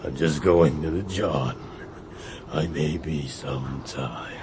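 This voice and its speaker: An actor playing a paranoid, despondent American general in a radio drama, with a gravelly voice and a morose, muttering delivery. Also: male voice, takes it slowly. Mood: bleak, resigned. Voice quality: very deep, gravelly. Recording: noisy, hissy radio drama recording.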